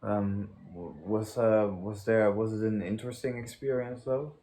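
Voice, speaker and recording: A young man with a fairly low voice tells casual stories. He talks haltingly and rambles, circling around his point as he goes. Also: fairly even intonation, dark tone. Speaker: host of a story time channel. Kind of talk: casual chat, anecdote telling.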